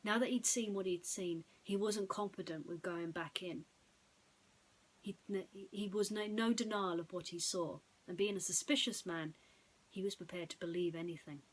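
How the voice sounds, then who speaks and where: A woman's voice: lowish voice, steady tone, moderate pace, husky, low-quality recording. A woman storyteller narrating a bedtime story in a dramatic, suspenseful way.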